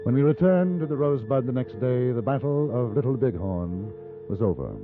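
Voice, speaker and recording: An actor with a British accent playing a courteous, measured gentleman newspaper correspondent in a 1950s radio drama. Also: medium pitch, animated, lightly acted. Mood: sombre narration. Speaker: lead actor and narrator. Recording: muffled 1950s radio recording, music behind.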